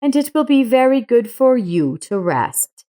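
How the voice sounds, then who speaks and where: A woman voicing a caring, gently scolding nanny in a narrated story, with an earnest, advising manner. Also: fairly high pitch, very animated, unhurried, voice pushed up.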